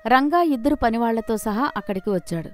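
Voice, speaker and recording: A woman's voice: on the high side, animated, fairly quick. A woman storyteller reading a scripted moral folk tale.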